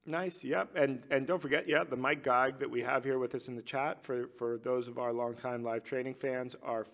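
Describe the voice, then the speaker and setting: A man's voice: medium pitch, fairly even intonation, normal speed, fairly bright tone. A man hosting a live training stream, chatting loosely with viewers and reading their chat aloud. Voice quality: gravelly. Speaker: livestream host.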